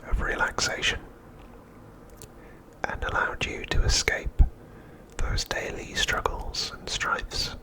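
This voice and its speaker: A man hosting ASMR in a breathy whisper, speaking in a gentle, soothing and reassuring manner. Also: rough recording. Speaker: ASMR channel host.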